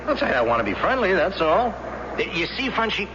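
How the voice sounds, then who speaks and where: An actor in a 1970s radio drama playing a rowdy, drunken German combat soldier who is girl-crazy and quick to anger. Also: high-pitched, big pitch swings. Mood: rowdy, jovial. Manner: rowdy, slurred, laughing, back-slapping chatter. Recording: muffled, noisy 1970s radio broadcast.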